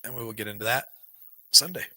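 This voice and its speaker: Guy hosting a recovery podcast, kinda hoarse, voice going, talks earnest and encouraging, dry home studio. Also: mid-range voice, fast, bright, forceful tone, no room sound. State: losing his voice, dry throat. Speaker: podcast host. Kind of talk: reading a book aloud, then casual chat. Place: small home studio with banner backdrop. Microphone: large studio mic on boom arm.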